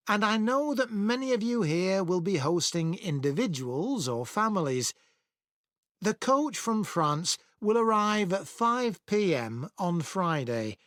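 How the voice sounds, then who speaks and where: A man acting a cordial association chairman, giving a clear, measured scripted speech for a listening test. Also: sits high, no echo, studio quality, heavily acted. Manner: clear, measured public address. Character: cordial male chairman of a town association addressing members.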